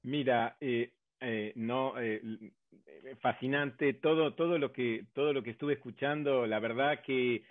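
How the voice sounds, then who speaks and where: A man's voice: mid-range voice, a little slow, dry recording. Argentine man on a tinny line. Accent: Argentine Spanish. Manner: hesitant, many fillers, builds arguments step by step. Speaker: academic panelist. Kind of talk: round-table discussion. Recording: dry, tinny phone-like line.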